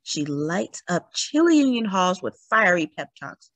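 A woman reading a picture book aloud in a dramatic, emphatic storytelling manner with deliberate pauses. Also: on the low side, very animated, a bit bright, no echo. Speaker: children's read-aloud story host. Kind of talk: expressive picture book narration.

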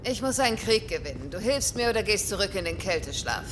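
A woman's voice: mid-range voice, big pitch swings, fast, natural voice. An actress voicing a hardened, bitter female war commander who speaks bluntly and curtly in a game dub cutscene. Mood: harsh. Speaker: military commander. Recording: noisy ambience.

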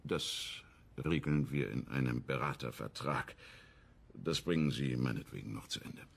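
An actor playing a stern, condescending government minister in a radio crime drama, curt and lecturing. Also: male voice, very deep voice, measured pace, vocal fry, heavily acted. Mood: curt, dismissive.

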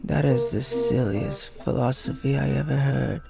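Woman doing a low, creaky, sarcastic male hedgehog. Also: normal speed, loud music behind the voice, heavily acted. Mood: cold, mocking. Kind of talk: cartoon comic dub acting.

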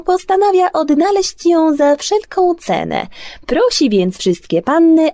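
A woman voicing a royal herald who loudly declaims a formal decree in a children's fairy tale. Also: high-pitched, no echo, studio quality, pitched above the performer's own voice.

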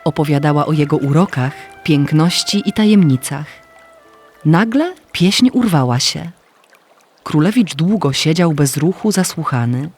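Male narrator, slightly high pitch, expressive fairy-tale storytelling, scripted, faint music underneath. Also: measured pace, husky.

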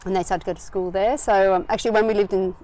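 She talks in an easy, unhurried way, with an Australian accent and plenty of ums, and laughs come easily. She is a woman in her forties, recorded out of doors on a garden verandah. Her voice sits at a middling pitch for a woman. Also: fairly even intonation, dark tone. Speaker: winery owner and winemaker. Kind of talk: casual interview chat.

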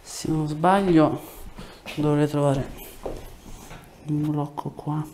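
A man's voice: on the high side, fairly even intonation, slow pace, background noise. A man, a chiropractor giving short hands-on instructions and explanations to a patient in a treatment room. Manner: short directive phrases. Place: small clinic treatment room indoors. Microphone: lapel mic.

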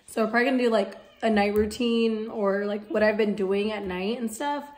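Hoarse, congested young woman vlogging. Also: mid-range voice, fairly quick. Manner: chatty, rambling with many fillers. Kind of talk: casual vlog chat. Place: home kitchen.

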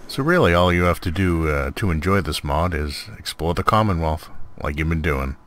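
A man's voice: rumbling low, animated, moderate pace, a bit bright, a little hiss or hum. A man hosting a gameplay video with casual, laid-back, rambling commentary. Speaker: video host, mod reviewer.

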